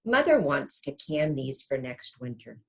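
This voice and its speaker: Woman playing an animated, busy storybook mother. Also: very deep voice, unhurried, full character voice. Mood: brisk, preoccupied. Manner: motherly, emphatic. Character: busy, caring human mother of a small girl.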